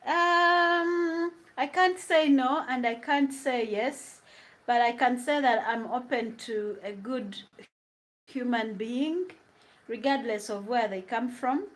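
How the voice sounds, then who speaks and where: Slow-talking Ugandan woman, laughs a lot. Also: on the high side. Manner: chatty, laughs often, drifts between English and Luganda. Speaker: vlogger. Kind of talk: casual chat while cooking, answering live comments. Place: home kitchen.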